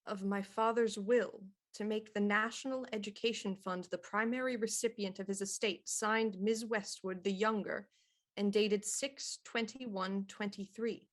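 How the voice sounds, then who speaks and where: An actress playing a composed, shrewd police inspector in a period radio murder mystery, with a dry, deliberate delivery. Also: female voice, mid-range voice, fairly even intonation, lightly acted. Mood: dry, measured. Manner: deliberate, dry, theatrical deduction.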